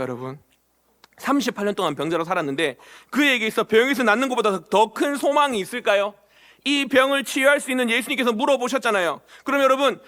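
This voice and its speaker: A man's voice: very high-pitched, sharp tone. A man preaching a sermon as pastor of a church, often asking the congregation questions and acting out short quoted lines. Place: church sanctuary.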